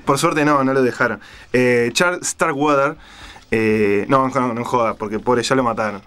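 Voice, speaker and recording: A man's voice: mid-range voice, moderate pace, a bit bright, clear, resonant tone. A man with a Rioplatense Spanish accent hosting a radio show in casual, unscripted talk. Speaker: radio host.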